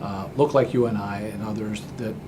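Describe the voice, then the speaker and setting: A man's voice: lowish voice, fairly even intonation, a little fast, background noise. A man from Pakistan answering interview questions in a friendly way, often repeating words as he starts. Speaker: interview guest, business founder.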